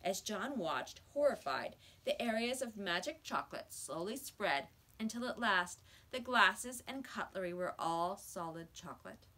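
A middle-aged woman reading a children's book aloud in a measured, expressive way, indoors in a small room. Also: mid-range voice. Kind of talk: children's storybook read-aloud. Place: small school office or library room, indoors.

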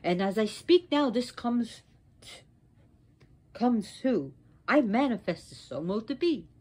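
A woman's voice: on the high side, expressive, takes it slowly, warm tone, slightly rough, full character voice. A woman voicing a furious, imperious goddess in an oral myth telling, with an emphatic, indignant, declamatory delivery. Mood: wrathful cursing. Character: furious, imperious wronged goddess pronouncing curses. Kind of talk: oral mythological storytelling.

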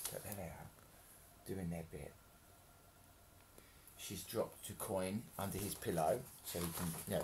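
A man in his thirties reads a picture book aloud to children. His pitch moves up and down in a very lively way, and he is playful and expressive. He often drops into chatty asides to explain what is going on. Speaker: storyteller reading to children. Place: home kitchen, indoors. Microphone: webcam at arm's length.

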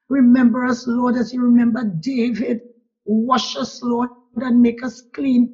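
Husky, gravelly Trinidadian woman praying. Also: on the high side. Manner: fervent and pleading, with "Lord" repeated in a steady rhythm. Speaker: person leading prayer. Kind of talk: spontaneous spoken prayer. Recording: dull lo-fi recording.

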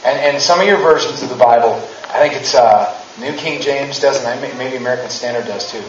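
A man's voice: medium pitch, brisk, slight background noise. A man in his thirties giving a casual, humorous sermon in a church sanctuary, acting out quotes with rising emphasis. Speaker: preacher. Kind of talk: conversational sermon. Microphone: handheld mic, far camera. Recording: roomy, distant, dull church recording.

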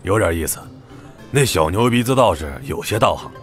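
An actor playing a blunt, gruff old blade warrior in a Chinese wuxia audio drama, talking in a rough, colloquial way. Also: male voice, low-pitched, expressive, a little fast, faint music behind. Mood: wry, amused.

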